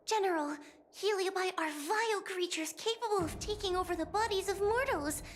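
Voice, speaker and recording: A woman's voice: very high-pitched, normal speed. An actress playing a stern, cautious judge who speaks formally and urgently to her superior. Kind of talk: game cutscene voice acting.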